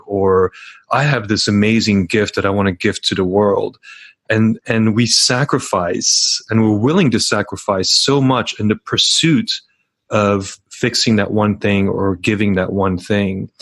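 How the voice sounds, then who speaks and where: A man's voice: lowish voice, fairly bright tone, no echo. A middle-aged man talking earnestly as a podcast guest, telling stories with frequent restarts. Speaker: podcast guest, startup founder. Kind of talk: casual podcast interview.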